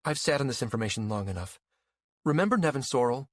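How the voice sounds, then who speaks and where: A man's voice: mid-range voice, animated, fast talker, no echo, clean studio sound, voice pushed up, close to an everyday voice. A man voicing a matter-of-fact woman in an audiobook story, explaining things with assurance. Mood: assured, revealing. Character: matter-of-fact woman revealing inside information.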